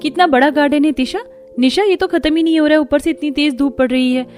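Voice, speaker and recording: A woman's voice: high voice, quick pace, a little mellow, faint music behind, pitched above the performer's own voice, lightly acted. A woman voicing an earnest, dutiful young daughter-in-law in a cartoon story. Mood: complaining.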